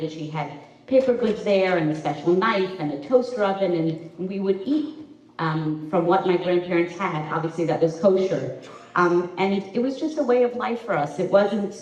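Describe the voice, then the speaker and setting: A middle-aged woman from the Boston area talks through her memories slowly, pausing often with "um." Her voice sits low. She was recorded from a distance in an echoey event room, and the sound is dull. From Boston area, USA. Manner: warm storytelling, many um pauses. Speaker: interview guest, preschool director. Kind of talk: casual conversational interview. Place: community center event room.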